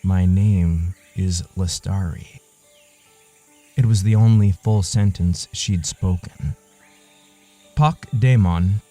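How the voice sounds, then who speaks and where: Deep, slow, mellow male story narrator. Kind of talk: scripted literary story narration.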